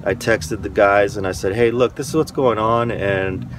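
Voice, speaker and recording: A man's voice: fairly low pitch, fairly even intonation, normal speed. A man with a stuffy nose and sore throat, talking in a rambling, frank way in a casual vlog from a parked van. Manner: rambling, frank, stop-start. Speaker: van life vlogger. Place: parked van outdoors, windy lot. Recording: outdoor wind and traffic noise.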